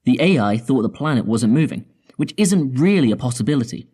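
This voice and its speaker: A confident male starship scientist in a sci-fi audio drama. His voice is dark and relaxed, mid-pitched, and he lays out ideas in careful, deliberate steps. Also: breathy edge. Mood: calm, resolute. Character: measured, confident male spaceship scientist proposing drastic plans.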